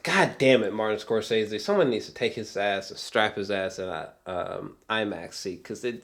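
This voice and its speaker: A man's voice: mid-range voice, a bit bright. A man giving a casual, rambling film review with geeky, awed enthusiasm, often hesitating, trailing off and swearing casually.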